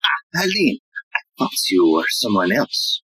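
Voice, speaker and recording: A woman playing a pompous, self-important police inspector in a theatrical audio play, lecturing with dramatic pauses. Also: extremely low pitch, big pitch swings, fairly bright tone, slightly rough, no room sound, full character voice, low-quality recording.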